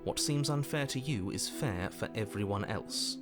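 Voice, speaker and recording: Man playing a low, stern fairy-tale narrator over music. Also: animated, moderate pace, lightly acted. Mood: grave, stern. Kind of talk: dramatic scripted game narration. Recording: music behind the voice.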